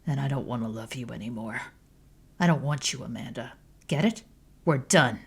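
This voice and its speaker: A woman voicing a betrayed wife who speaks with cold contempt, low and clipped. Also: normal speed, creaky, breathy edge, exaggerated voice. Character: cold, bitter betrayed adult wife, Nina.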